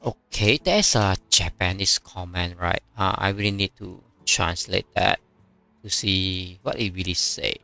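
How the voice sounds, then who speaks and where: A man whose first language is Chinese, streaming a strategy game and chatting casually, with many fillers and pauses as he reads viewer comments. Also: sits low, vocal fry, bright, forceful tone, soft background music. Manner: halting, many fillers, reads chat aloud.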